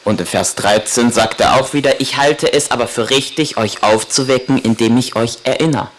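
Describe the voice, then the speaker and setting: A man's voice: mid-range voice, moderate pace. A man at a conference, interpreting a lecture phrase by phrase right after the speaker. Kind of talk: consecutive interpreting. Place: conference hall.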